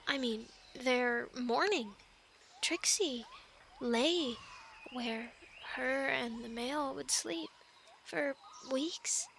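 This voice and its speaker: A woman's voice: sits high, extremely slow, creaky, fairly bright tone, slight background noise, full character voice. A woman acting a chatty young island castaway who loves animals, in an intimate, rambling ASMR roleplay with hesitant, earnest explanations. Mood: sympathetic.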